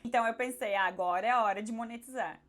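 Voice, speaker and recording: A hoarse woman with a Brazilian accent, talking candidly and conversationally as a YouTuber answering viewer questions. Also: on the high side, quick pace, fairly bright tone. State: hoarse, recovering her voice. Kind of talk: casual question-and-answer vlog.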